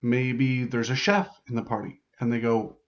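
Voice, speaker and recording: A man's voice: medium pitch, level tone, normal speed, dull audio. A man giving an improvised, casual tutorial as a game host, informally thinking aloud in loose phrases. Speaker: host explaining how to write larp game modules.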